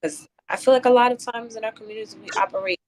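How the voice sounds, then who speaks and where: A woman's voice: on the high side, fast, darkish tone, a little hiss or hum. A woman talking earnestly and reflectively as a guest in a casual conversational interview. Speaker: interview guest, event curator and poet.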